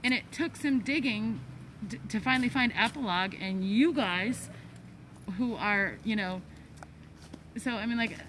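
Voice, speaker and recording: A middle-aged American woman talks casually in a slightly higher voice. She keeps breaking off and rephrasing, with faint noise in the background. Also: measured pace, pauses often, a bit bright. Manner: hesitant, self-interrupting. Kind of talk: casual, unscripted discussion.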